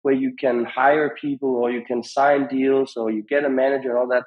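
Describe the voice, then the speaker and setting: Man giving halting interview advice. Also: medium pitch, little pitch movement, muffled recording. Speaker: podcast guest, music industry founder.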